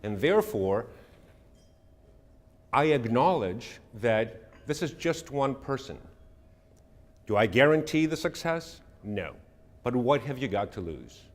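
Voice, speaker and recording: A middle-aged man from Greece, giving a measured, earnest presentation and answering audience questions. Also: medium pitch, sing-song, in a large hall. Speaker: speaker.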